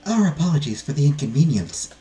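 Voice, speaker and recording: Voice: medium pitch, big pitch swings, fast, mellow, roomy sound, soft background music, voice pushed up, natural voice. A performer voicing a young fugitive princess, earnest, weary and courteous, with formal storybook phrasing. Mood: polite, weary.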